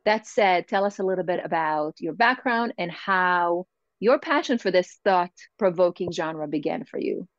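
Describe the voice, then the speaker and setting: A woman's voice: on the low side, animated, moderate pace, no echo. A woman hosting a podcast, earnestly introducing a guest. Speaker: podcast host. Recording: dull, muffled sound.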